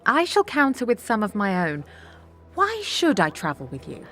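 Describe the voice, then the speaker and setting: An actress playing a poised, courteous succubus who runs a brothel, speaking in a formal, measured way. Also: female voice, mid-range voice, huge pitch swings, a bit bright, faint music behind, exaggerated voice.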